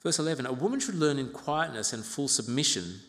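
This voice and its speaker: A man in his fifties from Uganda preaching an expository sermon as a pastor, in a measured, reflective way with pauses and gentle asides. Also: mid-range voice, lively intonation. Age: 50s. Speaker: pastor preaching to own congregation. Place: large reverberant church interior. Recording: reverberant hall.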